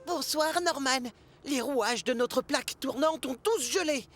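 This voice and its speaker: A considerate young male cartoon train, with a high voice. He speaks in a friendly, earnest, polite way. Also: measured pace, a bit bright, soft background music. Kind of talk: French-dubbed children's cartoon acting.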